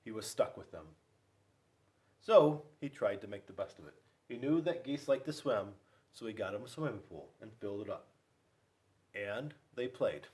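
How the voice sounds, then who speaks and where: A man reading a children's storybook aloud, with dramatic pauses and emphasis. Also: fairly low pitch, animated, a little mellow, far from the mic. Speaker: school resource police officer reading to children.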